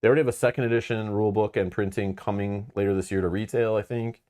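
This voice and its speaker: Deep-voiced man rambling on a stream. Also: fairly even intonation, fast, dark tone. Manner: rambling, filler-heavy, frank. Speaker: board game channel host. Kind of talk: casual live-stream chat.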